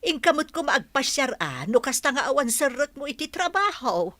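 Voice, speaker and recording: A woman's voice: sits high, big pitch swings, moderate pace, bright, forceful tone, no room sound, heavily acted. An actress playing a middle-aged mother who fusses at her grown daughter in a radio drama. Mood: fussing.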